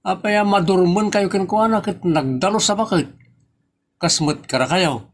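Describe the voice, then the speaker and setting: A man's voice: high voice, moderate pace. A man playing a teasing nephew in a comedy audio drama, talking in a playful way. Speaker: nephew teasing his aunt.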